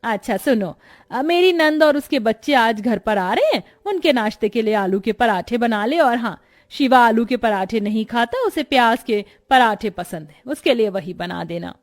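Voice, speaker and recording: A woman's voice: fairly high pitch, animated, moderate pace, close to an everyday voice. A woman voicing a middle-aged mother-in-law who gives commanding orders in a cartoon family story. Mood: bossy. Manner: commanding, matter-of-fact demands. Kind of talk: animated moral story dialogue.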